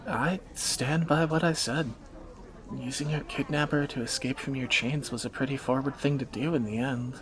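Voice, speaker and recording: A man playing a teasing, protective captor turned lover in a romantic fantasy audio roleplay, bantering and soft-spoken. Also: medium pitch, fairly even intonation, moderate pace, natural voice. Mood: fond banter.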